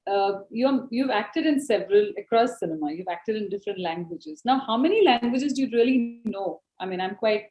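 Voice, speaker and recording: A woman's voice: on the high side, expressive, normal speed, hardly any pauses, dark tone. A woman interviewer, a film magazine editor, asking questions in a searching, hesitant way. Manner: hesitant, searching phrasing with fillers. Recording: dull lo-fi sound.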